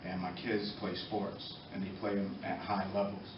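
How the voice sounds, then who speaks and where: A middle-aged American man giving earnest, halting, impromptu testimony at a public meeting, pausing between short phrases. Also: on the low side, little pitch movement. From Norwalk, Connecticut, United States. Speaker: former boxer speaking in support of a youth program. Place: indoor meeting room, far from the mic. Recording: echoey, muffled, distant, noisy room recording.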